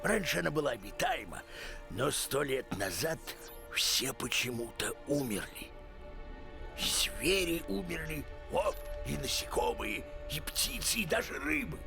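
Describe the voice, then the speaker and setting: A man voicing a huge, boisterous, excitable alien monster archaeologist in an animated film, very theatrical in delivery. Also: unhurried, vocal fry, over music, exaggerated voice. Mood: dramatic. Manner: theatrical, swinging from booming exclamations to conspiratorial murmurs.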